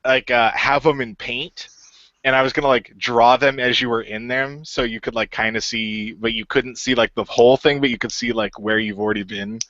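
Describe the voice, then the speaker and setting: A man's voice: medium pitch, fairly even intonation, normal speed, a bit bright, dry recording. A man who created and ran a radio play, chatting casually and rambling with many fillers and restarts. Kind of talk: casual podcast chat. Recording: dull lo-fi dry sound.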